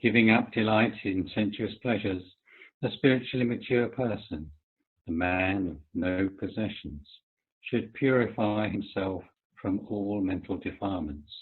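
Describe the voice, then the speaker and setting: A low-pitched, husky man reads scripture aloud very slowly, setting down each phrase with deliberation. The sound is thin and phone-like, coming over a video call. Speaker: reader, member of a Buddhist community. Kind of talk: reading scripture aloud, measured recitation. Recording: tinny, muffled video-call line.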